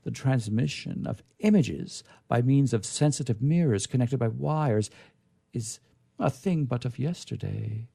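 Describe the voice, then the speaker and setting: A man reads a vintage story aloud in a theatrical, emphatic way. His pitch swings widely and his voice has a gravelly creak. He stretches phrases and pauses for dramatic weight. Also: mellow. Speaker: reader performing a literary reading. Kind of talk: dramatic narration of a vintage science fiction story.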